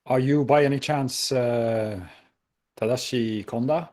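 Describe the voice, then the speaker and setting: Slow talking guy playing a tabletop game, polite, measured. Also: mid-range voice, a little mellow. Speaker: player. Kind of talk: tabletop roleplay.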